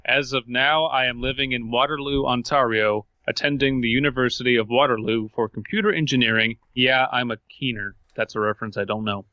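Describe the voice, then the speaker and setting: A man's voice: medium pitch, a little fast, hardly any pauses, dry recording, muffled recording. A man commenting casually on a podcast in a mocking, incredulous manner. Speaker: podcast panelist. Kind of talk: casual commentary and reading aloud.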